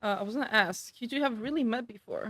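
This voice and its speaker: A woman's voice: fairly high pitch, fast, heavy background noise. A woman streaming a game, casually reading dialogue aloud and reacting in an irreverent, slangy, sweary way.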